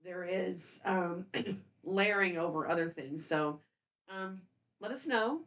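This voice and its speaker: A woman hosting a casual film discussion, speaking haltingly and often repeating and circling back over her words. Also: lowish voice, fairly bright tone, muffled recording. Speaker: podcast host.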